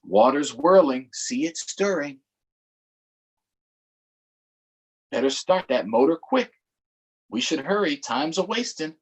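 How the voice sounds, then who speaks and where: A man voicing an excitable, folksy swamp boat guide in a storybook, wary of a gator and speaking with exaggerated delivery. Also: on the high side, unhurried, husky, low-quality recording. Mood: urgent.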